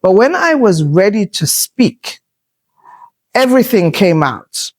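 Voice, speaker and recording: A woman in her fifties with a loud voice, talking in a reflective, assertive way as a podcast host and pausing often on fillers. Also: mid-range voice, sing-song. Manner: assertive, unhurried, thoughtful with filler pauses. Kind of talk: reflective conversational talk.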